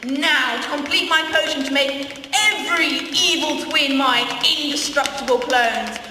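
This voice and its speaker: A woman's voice: high voice, a little slow, pushed and bright. A woman playing a scheming, theatrical evil twin villainess in an amateur radio play, declaiming grandly. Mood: scornful, grandiose. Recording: echoey hall, distant mic, faint noise.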